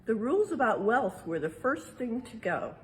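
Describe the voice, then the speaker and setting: A woman reading a picture book aloud in a museum exhibit like an educator, pausing for casual explanations and checking in with little tag questions. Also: fairly high pitch, big pitch swings, a little hiss or hum. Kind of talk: read-aloud storytime with casual explanatory asides.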